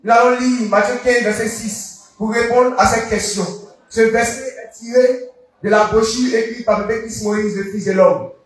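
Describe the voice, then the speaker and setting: A man preaching a sermon in French, slow and declaiming, repeating the reading after the reader, line by line. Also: very high voice, steady tone. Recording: echoey hall, voice from far off.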